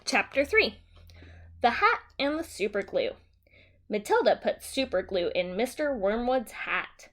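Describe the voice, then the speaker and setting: A woman teacher reading a simplified children's story aloud and explaining it in a deliberate, simple way for young children. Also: on the high side, very animated, fairly bright tone.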